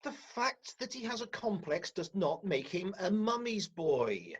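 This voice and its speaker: A woman playing the firm, officious head of a counselling team in a radio comedy, with a husky, authoritative voice. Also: mid-range voice, big pitch swings, unhurried, muffled recording. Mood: businesslike. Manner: authoritative, managerial. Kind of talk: radio play acting.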